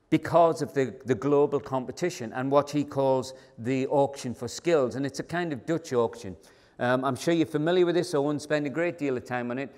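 A man giving a conversational, emphatic keynote talk to a large conference audience. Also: mid-range voice. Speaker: keynote speaker. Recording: long hall reverb.

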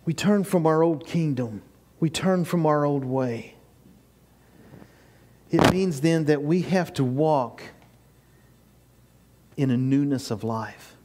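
An older man delivers a sermon in a small church, his voice sitting high for a man. He presses his points with rising, repeated phrases. The sound comes from a camera at the back of the sanctuary. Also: slow, pauses often, darkish tone. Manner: preaching with rising emphatic bursts and repeated phrases. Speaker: pastor. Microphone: far camera, no mic seen.

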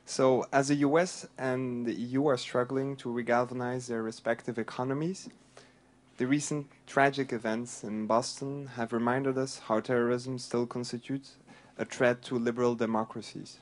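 A man's voice: medium pitch, little pitch movement, normal speed. A young man from Belgium in the audience politely reads out a prepared question. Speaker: audience member asking a question.

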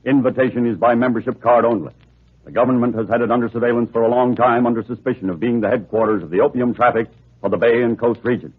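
A man's voice: mid-range voice, quick pace, breathy edge. A man announcing and narrating an old radio crime drama in a scripted 1940s style. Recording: tinny vintage radio recording, music behind.

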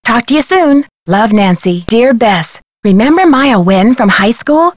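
A young woman playing an earnest, bold amateur sleuth in a video game, speaking clearly and inquisitively. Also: a little high, expressive, unhurried, no echo, studio quality. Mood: bright, chatty.

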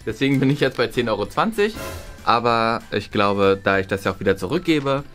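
A man's voice: medium pitch, expressive, fairly quick, fairly bright tone. A man in his twenties chatting casually as he reviews shopping finds in a vlog. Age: 20s. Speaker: vlogger. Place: small indoor room. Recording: music behind the voice. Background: background music.

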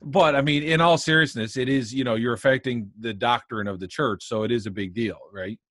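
A man's voice: medium pitch, a little fast, fairly bright tone, no room sound, clean studio sound. A man in his thirties hosting a podcast, asking loose, hesitant questions from a room at home. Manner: loose, hesitant, lots of fillers. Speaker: podcast host. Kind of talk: casual interview questions. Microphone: studio microphone on a stand.

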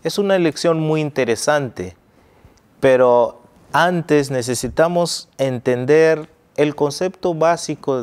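A middle-aged man from Angola, a pastor hosting a Bible study review in a TV studio, teaching in a measured way on a lapel mic. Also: medium pitch, darkish tone, clean tone. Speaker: pastor and host.

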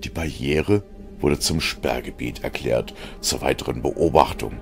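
A man's voice: extremely low pitch, unhurried, gravelly. A man reading scripted science fiction as a narrator, in a dramatic style. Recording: music behind the narration. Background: music.

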